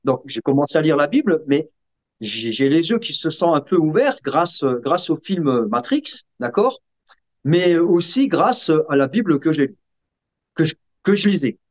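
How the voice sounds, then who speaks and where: A man being interviewed, explaining casually in a halting way and often checking that the listener follows. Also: a little high, big pitch swings. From Sicilian family background. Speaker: interview guest. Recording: dull, muffled lo-fi sound.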